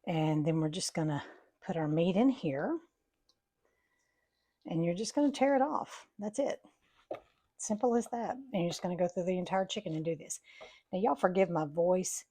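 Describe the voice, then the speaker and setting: A woman, hosting a home cooking lesson with a chatty step-by-step manner; her voice is raspy from allergies and she breathes heavily. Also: lowish voice, lively intonation, moderate pace, long pauses, warm tone. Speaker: home cooking channel host. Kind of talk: casual cooking demonstration. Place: home kitchen.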